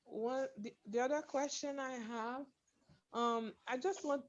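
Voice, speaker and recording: A woman from Haiti who speaks French natively asks her questions slowly, with many "um"s and restarts. Her voice sits a little high and comes through a dull, lo-fi call line. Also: steady tone. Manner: hesitant, careful speech with "um" and restarts. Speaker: prospective student asking questions. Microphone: video call.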